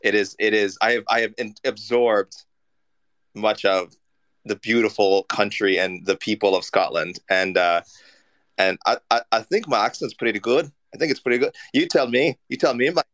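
A man's voice: on the low side, steady tone, normal speed, a bit bright. A man co-hosting a call-in show, playful and prone to stumbling restarts. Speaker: co-host. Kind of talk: casual chat.

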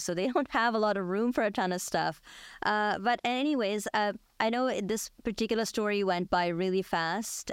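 A woman's voice: fairly low pitch, smooth, no room sound, studio quality. A woman hosting a show, talking in a reflective, conversational way with her guest. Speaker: podcast host. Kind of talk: conversational interview discussion.

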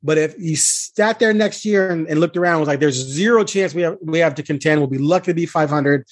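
Higher-pitched American man talking sports bluntly. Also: fairly quick, dry recording, studio quality. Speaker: sports reporter and podcast host. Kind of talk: opinionated sports podcast talk.

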